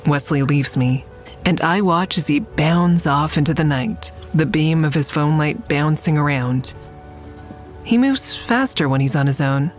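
Curious young woman, low voice, wry and intimate audio drama storytelling, bit of music behind her. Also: lively intonation, measured pace. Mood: intimate narration. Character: curious, playfully teasing woman narrating her night out. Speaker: narrator and lead character. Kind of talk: audio drama first-person narration and natural dialogue.

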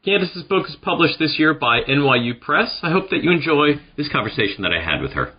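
A man's voice: fairly high pitch, sing-song, brisk, slightly rough. A man hosting a podcast, asking friendly, hesitant questions with fillers. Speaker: podcast host. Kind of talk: podcast interview. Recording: dull lo-fi audio.

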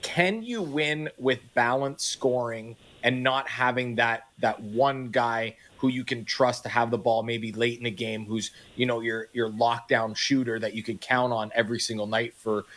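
Brief: mid-pitched male radio co-host, long detailed questions. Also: steady tone, normal speed, few pauses, fairly bright tone. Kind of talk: sports radio interview.

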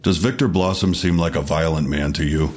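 An actor playing an unflappable police detective in an audio drama, questioning in a measured, official manner. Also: male voice, a bass voice. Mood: probing.

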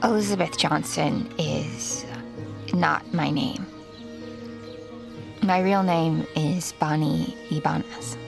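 An actress playing an anguished, protective middle-aged mother in an audio drama, tender and halting as she speaks. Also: low voice, fairly even intonation, vocal fry, music in the background, full character voice. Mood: sorrowful, confessing. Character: anguished, protective mother confessing a family secret. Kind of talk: audio fiction acting.

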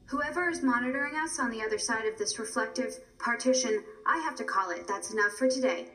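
A woman's voice: a little high, fairly quick, bright, forceful tone. A woman playing a polite, formal android nurse in an audio drama, protective and insistent. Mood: insistent, concerned. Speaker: robot nurse caring for a patient. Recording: roomy echo, faint background noise.

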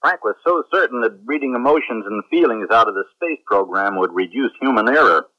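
An actor playing a good-humored, informal astronaut captain with a wry, easygoing manner in a radio drama. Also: male voice, mid-range voice, expressive, a little fast, close to an everyday voice. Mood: explanatory. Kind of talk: 1970s radio drama acting. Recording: dull, band-limited vintage radio recording.

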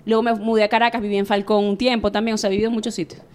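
A Venezuelan woman in her late thirties, a sports journalist telling stories candidly in a relaxed interview. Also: medium pitch. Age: 30s. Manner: chatty and candid, rapid storytelling. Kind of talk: casual interview chat.

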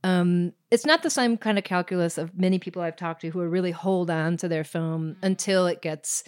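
Woman with slightly low voice, chatting candidly. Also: fairly quick, smooth. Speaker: filmmaker, interview guest. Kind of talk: casual conversation.

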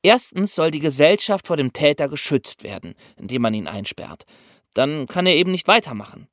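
Male co-host for an explainer podcast, didactic, highly animated intonation, dry close studio sound. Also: moderate pace, no echo. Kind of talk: explanatory podcast talk for young listeners.

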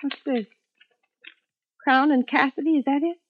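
Woman playing patient long-suffering young wife, high plaintive voice, earnest, vintage radio acting, dull recording. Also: fairly even intonation, normal speed, lightly acted. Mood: anxious, questioning. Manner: earnest, plaintive, gently reproachful. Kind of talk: 1950s radio drama acting.

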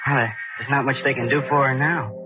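A man's voice: medium pitch, fairly even intonation, fairly quick, music in the background, natural voice. An actor playing an anxious, bewildered man in 1940s radio style. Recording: muffled old 1940s radio recording.

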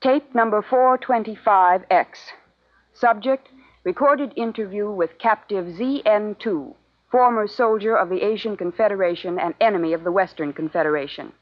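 Actress as earnest young woman, yearning, medium pitch, 1950s radio drama style, muffled old broadcast. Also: moderate pace, lightly acted. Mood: brisk, formal. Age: young adult. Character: earnest, yearning young daughter, secretly in love with captive.

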